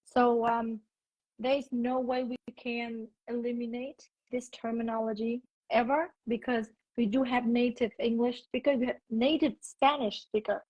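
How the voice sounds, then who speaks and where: A woman's voice: on the high side, level tone, normal speed, darkish tone, no echo. A young woman with a Vietnamese accent, a teacher explaining her views thoughtfully in a casual video call, restarting words as she goes. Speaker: English teacher, guest. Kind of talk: casual conversation. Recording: dull lo-fi video call audio.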